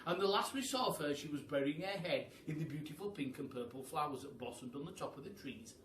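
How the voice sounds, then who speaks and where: A man's voice: a little high, expressive, fast talker, a bit bright, a little hiss or hum. A man reading a children's story aloud in the manner of a primary school storyteller. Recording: roomy echo, far from mic.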